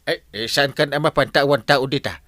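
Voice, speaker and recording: An actor playing a lazy, sleepy village captain in a comic radio drama, stammering and evasive with an Ilocano accent. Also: male voice, medium pitch, brisk, fairly bright tone, dry recording, natural voice. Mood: flustered. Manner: stammering, full of "eh" and "ay".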